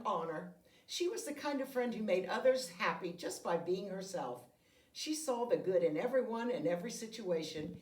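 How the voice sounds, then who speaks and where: A woman reading a children's picture book aloud with deliberate, expressive phrasing meant for young listeners. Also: fairly low pitch. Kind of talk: read-aloud storytelling. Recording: roomy echo, distant microphone.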